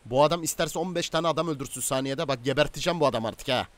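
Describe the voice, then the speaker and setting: A man's voice: fairly high pitch, fast talker, natural voice. Man acting a male crime boss, domineering, stern, menacing, interrogating tone, game roleplay. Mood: angry, exasperated. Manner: domineering, interrogating, issuing orders and threats. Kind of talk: improvised game roleplay dialogue.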